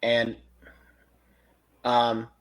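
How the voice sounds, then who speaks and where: A man's voice: medium pitch, monotone, extremely slow, rough recording. A man hosting a podcast, giving casual review commentary and recapping step by step in a hesitant way. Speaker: podcast host.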